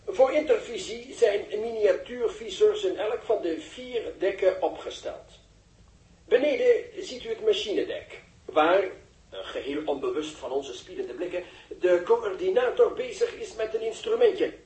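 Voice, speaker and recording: Eager tv reporter, high mellow voice, florid live spaceship commentary, old radio play. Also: male voice, animated, unhurried. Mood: excited commentary. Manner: florid, explanatory, dramatic. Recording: echoey, distant old radio recording.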